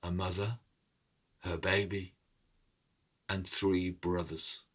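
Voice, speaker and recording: A man as a solemn, slow-spoken old thief. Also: low-pitched, little pitch movement, fairly bright tone, slightly rough, heavily acted. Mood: solemn, resigned. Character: weary, proud old thief recounting his deeds. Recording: cello and violin music behind.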